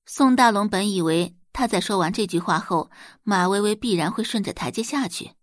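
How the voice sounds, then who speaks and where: A woman's voice: medium pitch, normal speed, no room sound, studio quality. A woman giving dramatic scripted narration as the narrator of an audio drama.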